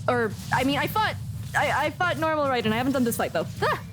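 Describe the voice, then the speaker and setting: A woman's voice: high-pitched, expressive, fast talker, noisy background. A woman giving casual live gameplay commentary, exclaiming and muttering to herself as she reacts. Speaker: game streamer. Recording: game music behind the voice.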